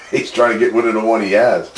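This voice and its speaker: A man's voice: fairly low pitch, fairly even intonation, a little fast. A man chatting casually and matter-of-factly as host of a swap meet over CB radio. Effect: CB radio transmission. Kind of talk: casual two-way radio chat. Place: voice heard over CB radio with faint noise. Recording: roomy, faintly noisy AM CB radio.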